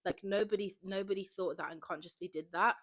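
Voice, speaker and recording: A woman's voice: medium pitch, steady tone, brisk. A woman, talking candidly in a casual confessional monologue, often saying "like". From Birmingham area, England. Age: 20s. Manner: candid, conversational, heavy use of "like". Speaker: podcast host.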